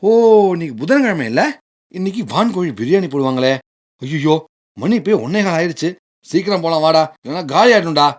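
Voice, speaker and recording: A man's voice: on the high side, expressive, fairly quick. A man playing a food-loving, jaded young job seeker in a Tamil radio drama, casual and grumbling. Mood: breezy, evasive.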